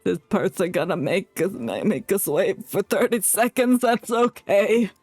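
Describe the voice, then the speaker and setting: A streamer chatting casually and playfully through live gameplay, excitable and often muttering to themselves. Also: medium pitch, measured pace, dry recording. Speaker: game streamer. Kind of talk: casual live gaming commentary. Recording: music behind the voice.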